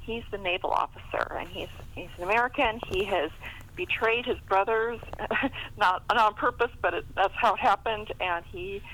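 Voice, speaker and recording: A woman's voice: mid-range voice, normal speed, fairly bright tone, slight background noise, poor audio. A middle-aged woman chatting casually as a novelist being interviewed, often chuckling as she talks. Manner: chuckles often while talking. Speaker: guest, romance novelist.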